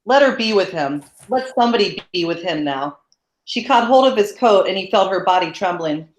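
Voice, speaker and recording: A woman narrating a novel in a steady, measured read-aloud. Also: mid-range voice. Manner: steady, even, measured reading. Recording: roomy echo.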